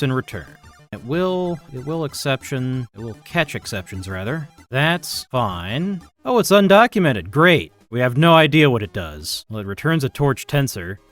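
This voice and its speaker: A man's voice: mid-range voice, fairly bright tone. A man chatting casually as he codes on a livestream, muttering to himself and grumbling with some swearing. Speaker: livestreaming programmer. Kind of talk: casual chat while live coding.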